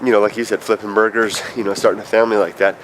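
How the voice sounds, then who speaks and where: A man in his fifties, stuffed up with allergies, leaving a rambling, halting video message full of fillers. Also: on the low side, little pitch movement. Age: 50s. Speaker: vlogger. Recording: noisy outdoor street recording.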